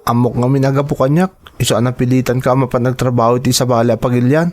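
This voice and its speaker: A young brother weighed down by guilt in an Ilocano audio drama, played by a man. He has a mid-pitched voice and an Ilocano Filipino accent. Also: little pitch movement, fast, a little mellow, close to an everyday voice. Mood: apologetic, remorseful. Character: guilt-ridden younger brother of the departing woman.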